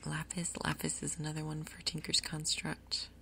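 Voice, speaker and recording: A woman doing soft-spoken ASMR game commentary in a breathy half-whisper, with a casual, rambling, unhurried manner. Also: deep voice, completely flat delivery, a little hiss or hum. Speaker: gaming video host.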